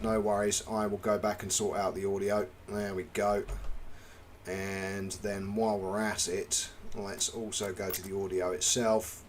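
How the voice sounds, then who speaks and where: A man's voice: lowish voice, slight background noise. An English man giving casual live commentary as he plays a management game, talking through each step and chatting with viewers. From England. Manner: chatty, narrates each click, greets chat. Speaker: game streamer. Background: game music.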